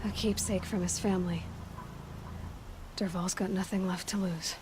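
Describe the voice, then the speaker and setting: A woman voicing a determined, compassionate young huntress in a video game, musing in a measured way. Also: on the low side, steady tone, background noise, close to an everyday voice. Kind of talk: video game cutscene acting. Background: background music.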